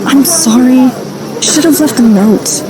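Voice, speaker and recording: High-voiced, anxious loving mother. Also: slow, background noise. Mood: apologetic, relieved. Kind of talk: audio drama acting.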